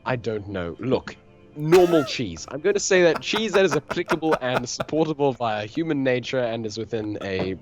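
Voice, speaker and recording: A man's voice: mid-range voice, big pitch swings, normal speed, soft background music. A man from South Africa co-hosting a casual gaming stream, playful and rambling, making up words as he reads the chat. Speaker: co-host reading chat.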